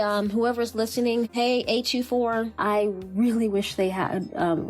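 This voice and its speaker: A woman's voice: medium pitch, darkish tone, poor audio. A woman hosting a podcast, talking casually about films in an informal, gushing and emphatic way. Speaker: podcast host. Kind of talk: casual chatty film review podcast.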